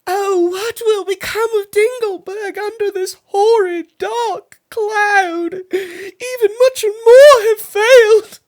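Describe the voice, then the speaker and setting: Young fairy-tale princess, played by a storyteller: very high, wailing, theatrical, emotional. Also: slow pace. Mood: tearful despair.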